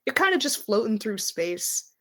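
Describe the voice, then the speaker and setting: A woman's voice: a little high, big pitch swings, normal speed, sharp tone. A woman running a tabletop game, improvising scene narration in a conversational, hesitant way. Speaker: game master.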